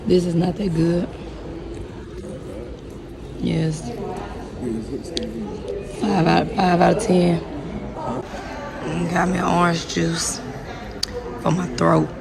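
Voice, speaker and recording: A young woman vlogging casually with a hoarse, raspy voice, talking slowly and quietly with many pauses and fillers. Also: on the low side, level tone, crowd noise. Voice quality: raspy, worn-out voice. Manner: slow, subdued talk with many fillers. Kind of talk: casual vlog chat.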